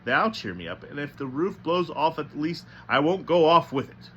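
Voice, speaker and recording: A man's voice: on the high side, sing-song, normal speed, lo-fi sound, a little hiss or hum, pitched above the performer's own voice. A man voicing a troubled, self-pitying teenage girl in a storybook, with a fretful, complaining manner. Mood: glum resolve. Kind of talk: storybook dialogue.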